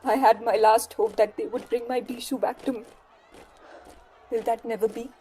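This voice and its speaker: A woman's voice: a little high, fairly even intonation, normal speed, dark tone, husky, some chatter behind. A woman playing a brave, devoted rebel heroine in a theatrical audio drama. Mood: sorrowful. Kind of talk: theatrical stage-play acting in an audio drama.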